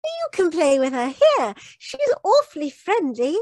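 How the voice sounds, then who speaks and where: Little girl for radio play, high voice, takes her time, innocent and careful, close dry sound. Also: huge pitch swings, a little slow, a little mellow, no echo, heavily acted. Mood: innocent, earnest. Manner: artless, careful, childlike. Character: sweet, earnest little English girl. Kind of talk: old-time radio mystery acting.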